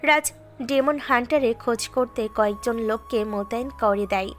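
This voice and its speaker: A woman narrating a Bengali audio drama in a steady, scripted storytelling manner. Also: a little high, normal speed. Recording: faint background music.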